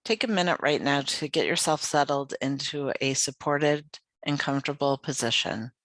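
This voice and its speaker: A meditation guide leading a yoga nidra practice in a soothing, unhurried, gently inviting way with pauses. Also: mid-range voice, no echo.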